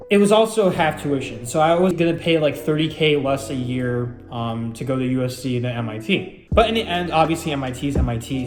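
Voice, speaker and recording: A young man in his late teens, rambling casually through viewer questions with lots of fillers and self-deprecating asides. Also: mid-range voice. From United States. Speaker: YouTuber answering viewer questions. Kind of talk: casual Q&A chat. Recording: roomy room with background music.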